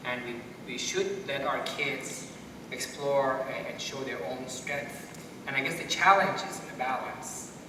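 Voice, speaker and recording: A man's voice: medium pitch, measured pace. Distant middle-aged man in an echoey hall. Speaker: brain doctor on a panel. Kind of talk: panel discussion, explanatory talk. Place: school theater stage at a panel table. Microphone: distant from the speaker. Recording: echoey hall, distant mic, background noise.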